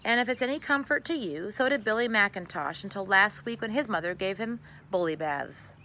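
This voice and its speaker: A woman voicing a gentle, wise, reassuring neighbour lady in a children's storybook. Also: mid-range voice, animated, moderate pace, a little hiss or hum. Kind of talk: children's storybook read aloud.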